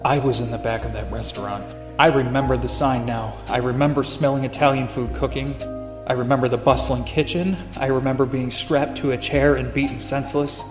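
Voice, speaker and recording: An actor playing a troubled private detective with memory gaps in a noir audio drama, brooding and confessional. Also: male voice, mid-range voice, steady tone, brisk, echoey room, over music, lightly acted. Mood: brooding, anxious. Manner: brooding, confessional inner monologue.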